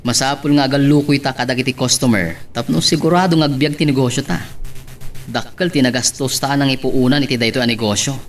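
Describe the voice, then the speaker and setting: A man's voice: mid-range voice, fairly even intonation, a little fast, faint music behind, close to an everyday voice. A man playing an upbeat, eager young restaurant owner in an Ilocano radio drama, with a Filipino accent. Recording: slightly distant, faintly noisy drama recording.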